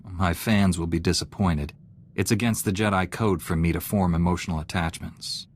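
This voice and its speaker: An actor playing an earnest, straight-laced warrior-monk hero in a science fiction video game, speaking in a measured, serious, dry manner. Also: male voice, deep voice, fairly even intonation, slight background noise, close to an everyday voice. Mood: stern, dry. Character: earnest, principled, straight-laced male Jedi knight hero. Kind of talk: video game voice acting, character dialogue.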